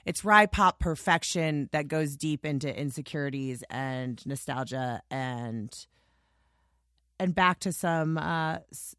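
Hoarse, scratchy-voiced woman podcast host. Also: sits low, takes it slowly, a bit bright, dry recording, clean studio sound. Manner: gushing, rambling with restarts. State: hoarse, lost voice. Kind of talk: casual podcast monologue.

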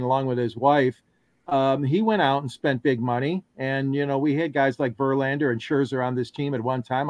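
A man's voice: medium pitch, no echo. Rambling male sports panelist, dry sound. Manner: rambling, many fillers and self-corrections. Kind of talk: casual sports talk.

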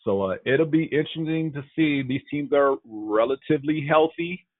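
A male sports podcast host chatting casually about the week's games. His voice sounds thin and phone-like, with no room echo at all. He runs through injury reports and team rankings in a relaxed way. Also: fairly high pitch, normal speed. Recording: tinny phone-like dry sound.

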